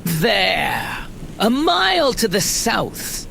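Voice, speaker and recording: An actress playing a ruthless, scarred veteran warband leader in a fantasy audio drama, gravelly, cutting and commanding. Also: female voice, mid-range voice, wildly swooping pitch, extremely slow, noisy background, heavily acted.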